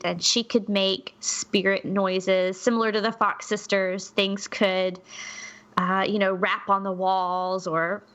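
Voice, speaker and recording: Bright-voiced woman telling stories conversationally. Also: mid-range voice. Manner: chatty, candid, hedges with asides. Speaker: podcast co-host. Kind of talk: conversational storytelling with notes.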